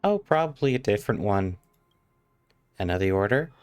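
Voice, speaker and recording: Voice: low voice, animated, normal speed, darkish tone, clear, resonant tone. A game streamer giving casual live commentary in a sweary, exasperated, argumentative manner.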